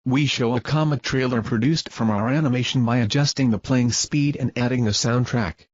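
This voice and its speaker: A man reads a scripted research narration in a formal, measured manner, like a presenter explaining a technical method. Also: mid-range voice, a little mellow, lo-fi sound.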